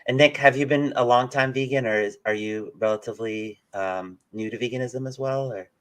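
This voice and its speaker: A man's voice: mid-range voice, fairly quick. A man hosting a casual live interview, asking questions in a loose, roundabout, hedging way. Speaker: interviewer, channel host.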